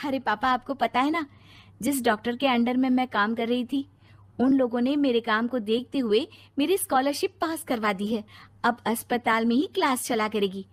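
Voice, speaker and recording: A woman's voice: fairly high pitch, moderate pace, close to an everyday voice. A woman voicing a confident young working daughter in a cartoon moral story, cheerful, upbeat and assertive. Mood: proud, upbeat.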